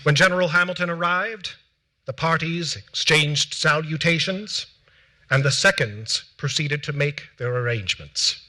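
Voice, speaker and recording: A male actor plays an early American gentleman in a historical stage reading. His voice is bright and crisp-edged, pitched slightly high. He recounts events in a solemn, formal, deliberate declamation. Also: poor audio. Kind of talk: theatrical dramatic reading of period documents. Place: theatre stage before a seated audience.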